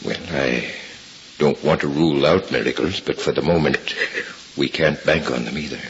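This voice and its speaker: An actor playing a frank, steady middle-aged hospital doctor who explains in a measured way, in a 1950s radio drama. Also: male voice, very deep voice, breathy edge, exaggerated voice. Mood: grave, explaining. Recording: muffled 1950s radio recording with hiss.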